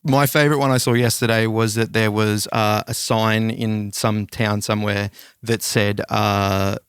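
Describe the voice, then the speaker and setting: A man's voice: fairly low pitch, little pitch movement, measured pace, fairly bright tone, clear, resonant tone, no room sound, clean studio sound. An Australian man hosting a podcast, telling rambling stories in casual conversation. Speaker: podcast host.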